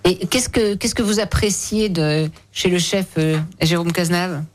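A woman hosting a radio show in a lively, conversational interview style, chatty and teasing with guests. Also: fairly low pitch, measured pace.

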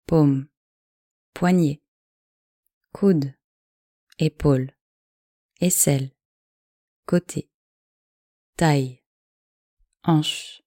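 A woman with a low, mellow voice leads a guided relaxation. She gives calm, measured instructions in short phrases, very slowly. The recording is dry and close. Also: dry recording. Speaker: yoga nidra guide.

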